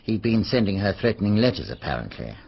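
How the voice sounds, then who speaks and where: A man playing a knowing, gossipy police veteran with an English accent and husky voice, colloquial and matter-of-fact in a radio drama. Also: on the low side, sing-song, fast, exaggerated voice. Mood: wry, knowing. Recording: slightly dull radio drama audio.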